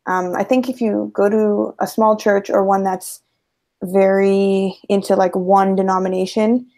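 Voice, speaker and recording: A woman's voice: mid-range voice, little pitch movement, unhurried, dark tone. A young adult woman on a video call, chatting casually and peppering her speech with "like". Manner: casual, many fillers like "like". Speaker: panel guest. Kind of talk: casual panel discussion. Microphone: video call mic.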